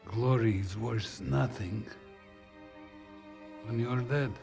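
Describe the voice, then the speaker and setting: An actor playing a weary, tender older father in a video game, with a Russian accent and a grave, gentle manner. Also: mid-range voice, slow, vocal fry. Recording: loud music underneath.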